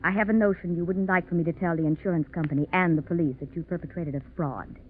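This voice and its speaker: An actress playing a cool, scheming, money-hungry mistress with a mocking, composed manner in a 1940s radio mystery. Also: female voice, on the low side, steady tone, fast, lightly acted. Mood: mocking, calculating. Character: cool, greedy, scheming femme fatale mistress. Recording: muffled, noisy 1940s radio recording.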